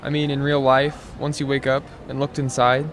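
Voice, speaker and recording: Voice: mid-range voice, level tone, normal speed, lightly acted. An actor playing a skeptical, level-headed young boy in an audio drama, questioning in a matter-of-fact way.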